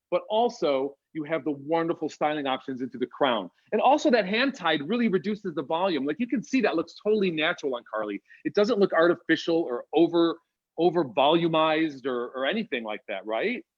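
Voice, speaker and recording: A man with an American accent hosts a live product demo, upbeat and chatty as he calls out viewers by name. His voice sits a bit high. The audio is muffled, as if squeezed through a video call. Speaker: wig designer co-hosting a live stream.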